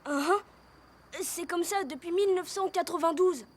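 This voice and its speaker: A woman voicing a nervous, pleading young schoolboy in an animated film, with music behind. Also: very high voice, moderate pace. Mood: explaining. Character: timid young schoolboy, eager to belong.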